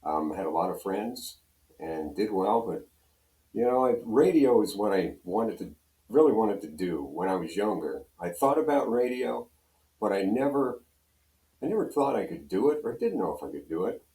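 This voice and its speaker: A man's voice: on the low side, big pitch swings, moderate pace, dark tone. An older American man from Pennsylvania, a radio program director, telling casual, folksy, self-deprecating anecdotes as a guest. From Pennsylvania, USA. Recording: roomy echo, distant from microphone.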